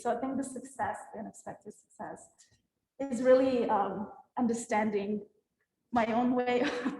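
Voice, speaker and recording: A woman's voice: on the high side, a little mellow. Reflective woman artist in echoey gallery. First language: Russian. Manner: hesitant, reflective, laughs often. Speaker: exhibiting artist. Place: gallery exhibition space. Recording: echoey gallery with faint room noise.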